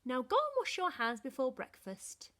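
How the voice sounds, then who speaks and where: Woman putting on a loud bossy giantess, high voice, booming, swoops wildly in pitch. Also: huge pitch swings, moderate pace, a little hiss or hum, heavily acted. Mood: scolding.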